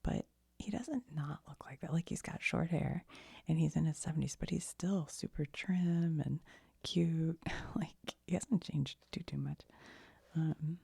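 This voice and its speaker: A woman speaks in a very breathy, husky near-whisper with heavy vocal fry. She rambles softly in an unscripted ASMR style, tentative and conversational, often letting thoughts trail off. The sound is close and dry. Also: deep voice, measured pace, dry recording. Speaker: ASMR video host.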